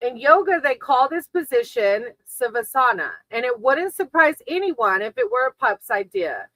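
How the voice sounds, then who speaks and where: A woman with a fairly high, bright voice gives a casual tarot reading on a livestream. She speaks reassuringly and encouragingly to her viewers, and the sound is dry and close. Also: big pitch swings, moderate pace, no echo, rough recording. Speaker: tarot reader hosting a livestream. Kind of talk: casual live tarot card reading with chat.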